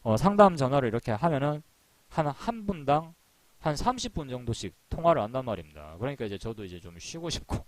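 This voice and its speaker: A man's voice: medium pitch, dry recording. A man giving a casual recorded stock trading lecture, chatty and repetitive, with rhetorical questions and small laughs. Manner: chatty, repeats himself, laughs at own jokes; rhetorical questions, frequent fillers. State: sore throat from long phone calls.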